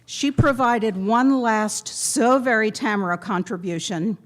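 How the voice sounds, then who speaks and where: A woman giving a prepared memorial tribute to a gathering, speaking in measured, deliberate phrases with pointed pauses. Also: on the high side. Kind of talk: prepared eulogy read aloud to a gathering.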